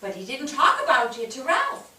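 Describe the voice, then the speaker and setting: A woman's voice: high-pitched, huge pitch swings, moderate pace, bright, forceful tone, full character voice. A woman acting an earnest, declamatory narrator of family history in a staged play reading. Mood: earnest, explanatory. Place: theatre stage, recorded from afar. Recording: distant microphone in echoey theatre.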